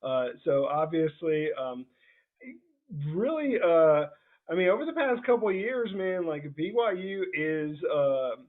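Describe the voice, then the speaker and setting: Male sports interviewer, slightly high voice, informal and halting, heard through a narrow telephone-like line. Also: big pitch swings. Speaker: interviewer and football scout. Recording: thin, narrowband phone-like call sound.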